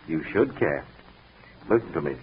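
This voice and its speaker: An actor playing a stern, dogged police detective in 1940s radio mystery style, insistent, formal and accusing. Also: male voice, rumbling low, lively intonation, normal speed, husky, exaggerated voice. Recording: tinny, muffled 1940s radio recording.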